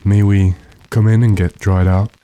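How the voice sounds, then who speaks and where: A man playing a weary, decisive gentleman master with a dry, commanding manner in an old-time radio drama. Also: on the low side, little pitch movement, moderate pace, clean tone, dry recording, close to an everyday voice. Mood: resolute.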